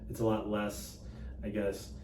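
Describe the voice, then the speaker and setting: Low, flat-voiced man in an echoey room. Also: unhurried. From Dallas, Texas. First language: Cantonese. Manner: relaxed, conversational, frequent fillers. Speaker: political candidate and attorney. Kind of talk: casual interview conversation. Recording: echoey room, distant mic, background noise.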